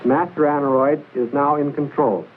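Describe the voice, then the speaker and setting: A man narrates an old military training film in a formal, measured, precise instructional style, reading from a script. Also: a little high, steady tone, phone-quality sound. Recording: hissy band-limited 1940s film soundtrack.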